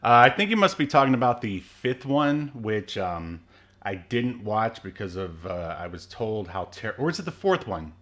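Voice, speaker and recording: A man's voice: on the low side, very animated, moderate pace, a bit bright. A man in his thirties co-hosting a podcast, chatting casually with lots of fillers and laughs. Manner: rambling, many fillers, laughs often. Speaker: podcast co-host. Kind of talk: casual chat.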